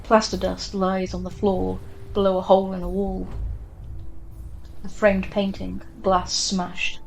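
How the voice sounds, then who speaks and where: A woman reading a scripted horror story in the second person, with a measured, grave delivery. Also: mid-range voice, completely flat delivery, gravelly, a little mellow, poor audio. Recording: music behind the voice. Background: background music.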